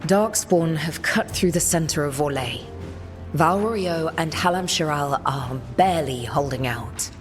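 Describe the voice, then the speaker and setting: An actress playing a weary, resolute woman leader in a video game, speaking in a measured, grave, commanding way. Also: deep voice, long reverb tail, soft background music. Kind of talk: video game cutscene acting.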